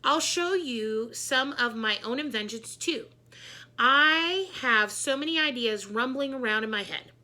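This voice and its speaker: A woman's voice: fairly high pitch, animated, unhurried, bright, forceful tone. A woman voicing an enthusiastic, quirky female computer teacher in a children's storybook. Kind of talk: children's book read-aloud.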